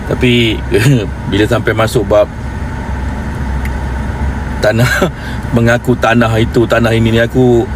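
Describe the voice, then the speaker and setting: A man's voice: medium pitch, little pitch movement, measured pace, long pauses, noisy background. A man with a Malaysian Malay accent giving a casual, mocking, rambling rebuttal with bits of laughter.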